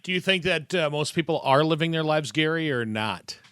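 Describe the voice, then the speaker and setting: A man's voice: a little high, lively intonation, normal speed, a bit bright, clear, resonant tone, dry recording. Male podcast host, casual and rambling, dry close sound. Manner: loose, rambling questions with restarts. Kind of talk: casual interview questions.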